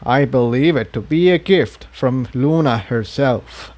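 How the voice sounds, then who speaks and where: Man voicing a kindly old unicorn priest, measured. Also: medium pitch, a little mellow, natural voice. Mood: solemn, explanatory. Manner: measured, explanatory.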